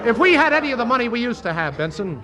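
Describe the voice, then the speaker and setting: An actor playing a wry, sardonic American wisecracker in a 1940s radio comedy. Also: male voice, very high voice, very animated, rapid-fire, over a noisy crowd. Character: wry, broke, mischievous American wisecracking sidekick. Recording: faint noise, old 1940s radio broadcast.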